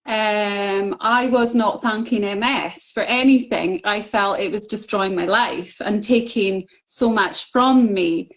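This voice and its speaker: A middle-aged woman shares her story in an open, earnest way, filling pauses with "um". Her pitch sits in the middle of the range. The audio is a bit muffled and lo-fi. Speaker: guest, book contributor. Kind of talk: casual interview chat. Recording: slightly dull, lo-fi audio.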